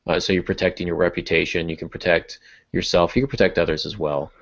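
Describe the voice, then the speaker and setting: Low-voiced man, casually explaining. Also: steady tone, quick pace, creaky. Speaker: podcast host and writing teacher. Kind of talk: casual explanatory podcast talk. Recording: dull, muffled lo-fi audio.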